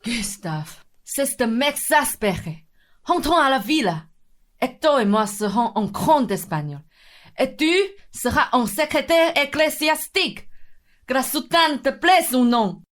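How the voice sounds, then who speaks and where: An irritable crook in charge of a small gang voiced by a woman in a comic radio play. The voice is fairly high, curt and commanding, scolding the others. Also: expressive, measured pace. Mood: irritated, commanding. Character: bossy, irritable male crook, gang leader.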